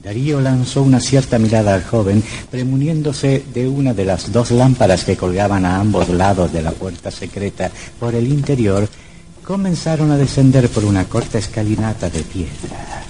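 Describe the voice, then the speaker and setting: A man's voice: lowish voice, expressive, moderate pace, mellow, slight background noise. A man narrating a scripted old radio horror drama in a dramatic, suspenseful manner.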